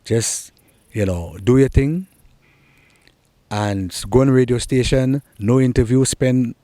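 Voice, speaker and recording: A man's voice: medium pitch, slow, mellow, no room sound. A middle-aged man with a Trinidadian accent, answering interview questions in an earnest, encouraging way. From Trinidad and Tobago. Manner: earnest, encouraging, repeats key points. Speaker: soca artist guest.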